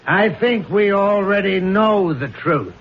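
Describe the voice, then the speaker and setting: High-pitched, sardonic prosecutor. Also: male voice, lively intonation, slow pace, full character voice. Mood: sceptical, pressing. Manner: insistent, sardonic, formal. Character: sceptical, relentless courtroom prosecutor. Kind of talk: 1970s radio drama acting. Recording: dull, noisy 1970s radio recording.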